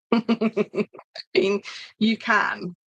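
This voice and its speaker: A woman's voice: medium pitch, steady tone, extremely slow, dry recording, dull audio. A woman with a Yorkshire accent hosting an informal craft demonstration, chatting to viewers and thinking aloud as she works. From Yorkshire, northern England. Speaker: craft tutorial host.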